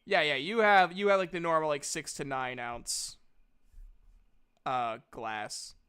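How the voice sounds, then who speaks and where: A man working as game master, narrating casually and haltingly with repeated words. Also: fairly high pitch, animated, fairly bright tone, clear, resonant tone.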